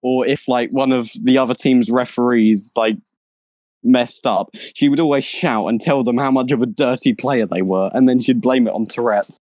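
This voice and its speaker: A man's voice: mid-range voice, steady tone, moderate pace, a little mellow, no room sound. A young man co-hosting a podcast, telling stories casually with stumbles and frequent laughter. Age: young adult. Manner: stumbling, giggly. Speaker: podcast co-host. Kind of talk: casual chat.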